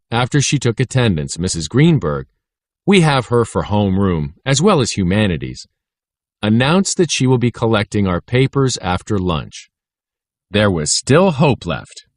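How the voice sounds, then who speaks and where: A man reading a children's story aloud as an audiobook narrator, with expressive delivery. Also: on the low side, dry recording, studio quality. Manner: expressive, voices dialogue between schoolboys. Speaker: audiobook reader.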